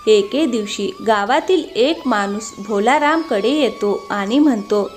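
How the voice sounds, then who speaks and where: A woman's voice: a little high, expressive, unhurried, soft background music. A woman storyteller reading scripted narration for a moral tale.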